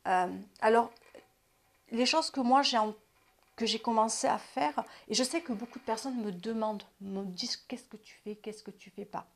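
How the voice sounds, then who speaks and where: A woman in her fifties who hosts an advice channel and talks casually about self-care, often restarting her sentences and dropping into quiet asides. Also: a little high, normal speed. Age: 50s. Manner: chatty, repeats and restarts, drops to asides.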